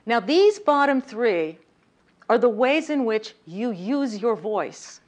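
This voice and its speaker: A woman with a slightly high voice giving a lively lecture on communication, often turning to the audience with questions. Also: slow. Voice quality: voice a little high, by her own account. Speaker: communication workshop speaker. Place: lecture room.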